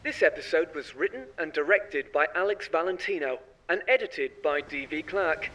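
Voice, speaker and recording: A man's voice: fairly high pitch, moderate pace, fairly bright tone, a little hiss or hum, low-quality recording. A man reading scripted closing credits as the announcer of a podcast.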